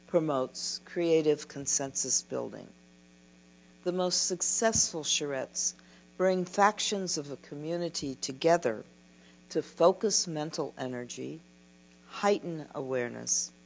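An older woman giving a keynote lecture from a podium, reading a prepared talk in a slow, measured way. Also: low voice. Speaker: keynote speaker. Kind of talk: scripted conference lecture. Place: dim lecture hall at a podium. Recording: dull lo-fi recording with mains hum.

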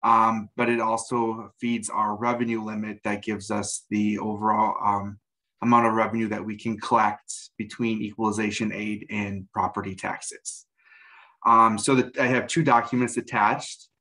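A man in his forties reporting figures to a school board over a video call, measured and full of ums and uhs, from a room at home. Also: on the low side, flat delivery, bright, forceful tone, low-quality recording. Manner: measured, frequent um and uh fillers. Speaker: school district administrator. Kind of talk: meeting report. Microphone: video call webcam.

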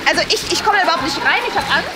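Extremely high-pitched young woman. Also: animated, racing. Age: young adult. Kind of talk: casual chat. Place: large indoor swimming pool hall. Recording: music and splashing behind. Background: music, water splashes.

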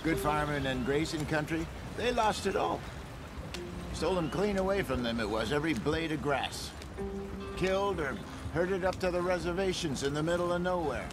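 Guy playing old american wild west con man, wordy n theatrical, highish voice, music behind. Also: expressive, normal speed, lightly acted. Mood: somber, storytelling. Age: older. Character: eloquent, wry older male Wild West con man. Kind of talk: cinematic western game acting. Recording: music and wagon sounds behind.

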